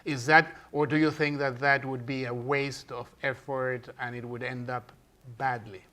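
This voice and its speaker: A man's voice: medium pitch, level tone, measured pace, bright, forceful tone. A man moderating a question session, speaking hesitantly with stumbling restarts.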